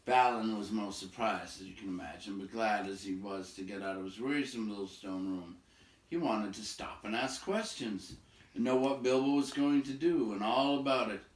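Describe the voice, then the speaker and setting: A man's voice: on the low side, moderate pace. A man reading a storybook aloud to listeners, moving between narration and casual remarks. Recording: roomy echo, distant from the mic.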